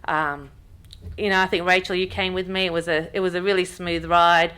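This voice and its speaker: Woman, 30s, slightly low voice, unscripted conference talk with many ums. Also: moderate pace, clear, resonant tone. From Pakistan. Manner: relaxed, frequent ums, restarts sentences. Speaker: workshop speaker. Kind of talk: business workshop talk. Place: conference room with audience.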